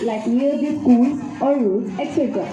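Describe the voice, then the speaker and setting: A woman's voice: fairly high pitch, moderate pace, warm tone. A female student performer reciting simple scripted puppet-show dialogue in a rehearsed way. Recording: muffled, very echoey, noisy room with chatter.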